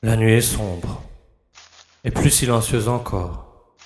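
An actor playing a dutiful, easily flustered village constable, deferential and plaintive. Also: male voice, fairly low pitch, slow pace, darkish tone, husky, no echo. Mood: obedient. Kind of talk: French audio drama acting.

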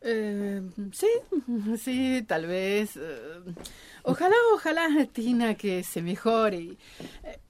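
A woman acting in a radio drama as a shy, flustered village woman who speaks haltingly and evasively. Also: mid-range voice, lively intonation. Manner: halting, hesitant, trailing repetitions. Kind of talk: radio drama acting in natural conversational dialogue.

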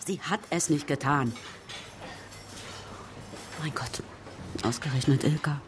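An actress playing a brisk, defensive middle-aged mother working as a waitress who protects her daughter. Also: deep voice, noisy background.

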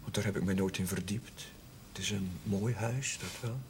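An actor playing a shy, kind young village chaplain with a hesitant, stammering manner, in a television period drama. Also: male voice, sits low, fairly even intonation, normal speed, slight background noise. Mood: polite, curious.

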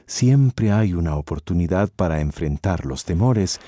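A man narrating a Costa Rican radio drama in a solemn, measured way. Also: sits low, lively intonation, warm tone, a little hiss or hum. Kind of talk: scripted narration.

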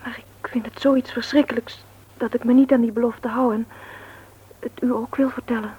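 A woman's voice: a little high, steady tone, moderate pace, breathy edge. An actress playing a troubled, earnest young woman in a Dutch radio drama, speaking breathily, politely and hesitantly. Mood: troubled, confiding. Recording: dull, lo-fi old radio recording.

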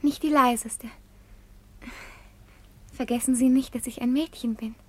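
A woman's voice: sits high, fairly even intonation, unhurried. An actress playing a coy, girlish young daughter in a 1950s German radio play. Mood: coy, sweet. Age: young adult.

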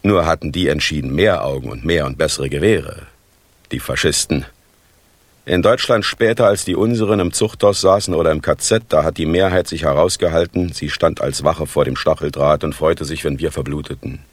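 Older East German functionary, deep voice, dry close sound, menacing courtesy, measured speechifying, radio play. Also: male voice, no room sound. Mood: grave. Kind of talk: 1980s German radio drama acting.

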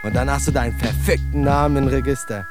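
Brash young street tough over loud music acted. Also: male voice, medium pitch, fairly even intonation, fast, natural voice. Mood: cynical, angry. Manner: brash, profane, rhythmic. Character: cynical young immigrant street tough, angry and mocking. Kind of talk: radio drama monologue in rhythmic street slang.

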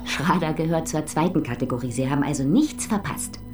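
An actress playing an intense, bitter woman visitor who talks in a sharp, insistent and preachy way. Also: low voice, lively intonation, a little fast, faint music behind. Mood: scornful.

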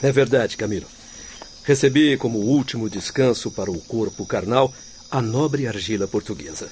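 A man's voice: mid-range voice, moderate pace, a little mellow, slight background noise, natural voice. An actor in a radio drama playing a kindly, humble male doctor, courteous, formal and reassuring. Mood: solemn.

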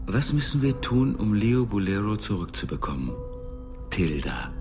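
An actor playing a terse, pressing executive in a German radio drama, brusque in manner. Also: male voice, lowish voice, big pitch swings, moderate pace. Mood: urgent, terse. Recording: music behind.

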